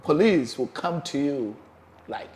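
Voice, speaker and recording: A man with a Ghanaian accent hosting a casual interview, animated and prone to repeating his phrases. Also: on the high side, unhurried, slight background noise. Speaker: host.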